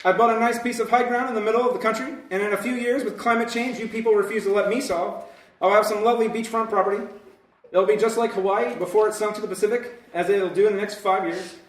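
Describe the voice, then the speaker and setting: A man acts out an exasperated, foul-mouthed president in a sketch comedy show. The voice is high for a male, sarcastic and defiant. It sounds echoey and set back from the microphone. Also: fairly even intonation, quick pace, lightly acted. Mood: defiant, fed up. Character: exasperated, foul-mouthed departing American president, ranting bluntly. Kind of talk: comedy sketch in old-time radio variety show. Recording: echoey room, distant from mic.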